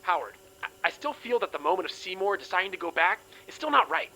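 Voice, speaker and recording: A man's voice: high-pitched, big pitch swings, fast, bright, forceful tone, heavily acted, poor audio. A man voicing an uneasy film director reading out a written reply with uneven, hesitant emphasis. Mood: uneasy, conciliatory. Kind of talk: acted reading of a letter.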